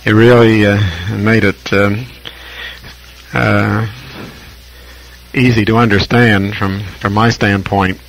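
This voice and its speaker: An American man chatting casually as a ham radio operator, speaking in a halting, deliberate, reflective way with long pauses over shortwave radio. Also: on the low side, little pitch movement, gravelly, low-quality recording. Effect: shortwave radio transmission.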